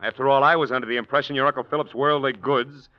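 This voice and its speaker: An actor playing a suave, cynical playboy husband in an old-time radio drama, glib and dryly sarcastic. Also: male voice, medium pitch, fairly even intonation, quick pace, lightly acted. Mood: sardonic. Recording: dull, lo-fi old radio recording.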